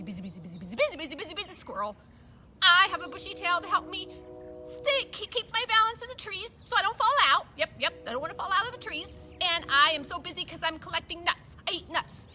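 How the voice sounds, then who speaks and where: A woman voicing a busy, excitable squirrel in a children's puppet show, chattering giddily and repeating words. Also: very high voice, exaggerated voice. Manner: rapid, repetitive, giddy chatter. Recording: noisy live recording.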